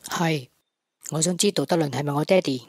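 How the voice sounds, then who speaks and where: A woman's voice: deep voice, brisk, no echo, clean studio sound. A woman with a Hong Kong Cantonese accent voicing an earnest teenage boy in a radio drama, who talks in a stammering, sulky way. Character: earnest teenage boy, devoted to his mother.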